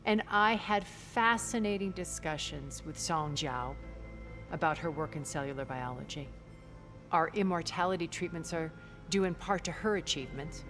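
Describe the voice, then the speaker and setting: An actress playing a refined, wealthy immortal woman in a video game, speaking in measured, eloquent, theatrical phrases with quiet scorn. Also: deep voice, soft background music. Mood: fond, wistful. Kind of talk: video game character dialogue.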